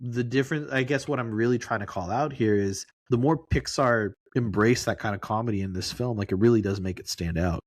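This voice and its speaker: A man's voice: medium pitch, fairly quick, few pauses, no room sound, clean studio sound. A man co-hosting a film podcast, talking casually and circling his way through his explanations.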